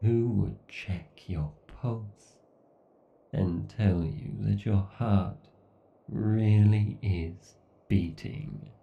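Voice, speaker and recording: A man playing an obsessed, sadistic AI god villain in a horror ASMR roleplay, gloating with slow, grandiose menace and an echo on the voice. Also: fairly low pitch, steady tone, gravelly, exaggerated voice. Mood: cold, taunting. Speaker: voice actor. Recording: muffled, echoey, distant-sounding.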